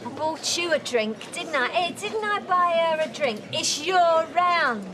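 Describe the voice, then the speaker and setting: A woman's voice: lively intonation, unhurried, lightly acted. An actress playing a drunken, self-pitying middle-aged mother in a soap, with a Northern English accent. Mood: needling. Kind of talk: television soap acting. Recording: clear background noise, low rumble.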